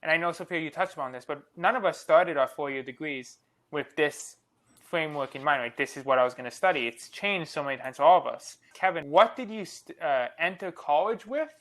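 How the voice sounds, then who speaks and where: A young man co-hosting a podcast, earnest and reflective, speaking in quick run-on sentences. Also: fairly high pitch, fairly bright tone. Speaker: podcast co-host. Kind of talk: casual podcast conversation.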